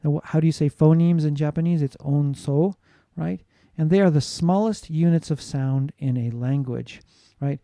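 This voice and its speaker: A man's voice: on the high side, moderate pace, vocal fry, few pauses, mellow, dry recording, clean studio sound. A man from the United States giving a classroom lecture on phonetics, explaining steadily and often asking "right?" to check in with students. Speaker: English teacher.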